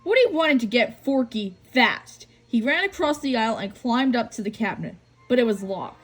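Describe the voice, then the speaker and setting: High, very animated woman reading a story. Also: moderate pace. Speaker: storybook reader. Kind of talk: scripted read-aloud narration of a children's story. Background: music.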